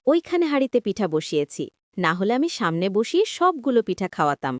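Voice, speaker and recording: A woman's voice: mid-range voice, very animated, quick pace, no echo, clean studio sound, lightly acted. A woman voicing a hospitable, insistent village housewife in a cartoon. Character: hospitable village woman urging food on guest. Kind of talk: Bengali moral cartoon voice acting.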